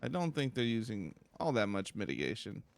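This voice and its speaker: A man giving casual, low-key video game commentary, musing aloud to himself as he plays. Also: very animated, fairly quick, vocal fry, soft background music. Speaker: let's play streamer.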